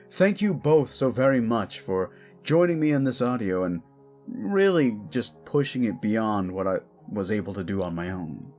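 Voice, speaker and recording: A man speaking as the host of an audio series, addressing listeners in a prepared outro. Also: medium pitch. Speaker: audio drama creator and host. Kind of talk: scripted outro thanking listeners.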